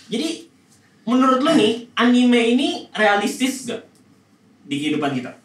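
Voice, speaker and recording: High, excitable man hosting in an echoey room. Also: moderate pace. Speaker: host. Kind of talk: lively casual moderating. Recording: echoey, far from the mic.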